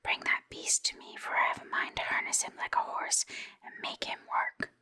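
A woman's voice: moderate pace, pushed and bright. A woman whispering the lines of a cruel, scheming old witch in a storybook reading. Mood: commanding.

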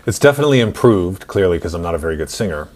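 A man in his forties narrating a screen-recorded software tutorial in an instructional, step-by-step way. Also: low-pitched, very animated, very fast. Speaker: tutorial host.

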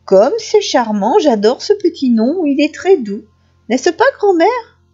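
A put-upon storybook wolf, voiced by a woman, pitched very high and plaintive. He is a soft-hearted, whiny creature who pleads his case. The recording is slightly dull. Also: big pitch swings, a little slow. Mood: sugary sweet. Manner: sobbing, plaintive complaints. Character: whiny, put-upon male wolf, turning sugary sweet. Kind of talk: children's storybook character voice.

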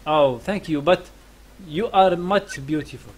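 A man's voice: a little high, measured pace, breathy edge. A young man, mockingly chatting with strangers on a video call from his room, using a headset mic. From Algeria. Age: young adult. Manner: teasing, mocking. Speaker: host. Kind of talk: prank chat with strangers. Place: indoor home room. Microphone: gaming headset.

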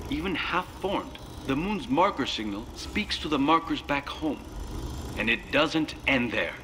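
An actor playing a solemn researcher who explains things in measured, dramatic fashion in a sci-fi game. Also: male voice, mid-range voice, expressive, background noise, natural voice. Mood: solemn, ominous. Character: grave male researcher leaving a recorded message.

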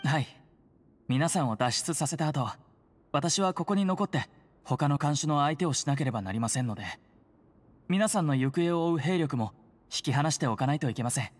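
An actor playing a polite, earnest prison guard who secretly helps heroes, speaking formally and solemnly in a game. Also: male voice, on the high side, animated, moderate pace. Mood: grave, urgent. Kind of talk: Japanese game voice acting. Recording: long reverberant hall.

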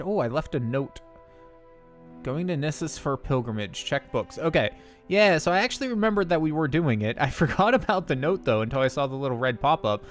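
A man streaming a game, chatting casually with viewers and laughing often, his nose a little stuffy. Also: fairly high pitch. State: slightly congested, stuffy nose. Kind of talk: casual gameplay commentary and chat.

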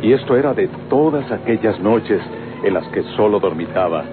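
A man with a Mexican accent giving solemn, deliberately phrased narration for a dramatic radio serial. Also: fairly low pitch, lively intonation, close to an everyday voice. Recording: tinny old radio recording, music behind.

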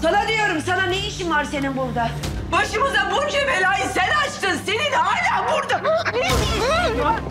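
Distraught middle-aged mother, very high voice, wailing, confrontational, TV drama, dramatic score behind. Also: lively intonation, normal speed, loud music behind the voice. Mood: hysterical rage. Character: frantic, accusing mother of a stabbed son. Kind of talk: TV drama acting. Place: hospital corridor.

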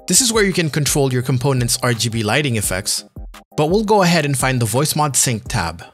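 Animated male tech presenter over music. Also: medium pitch, a little fast. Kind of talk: upbeat scripted promotional narration.

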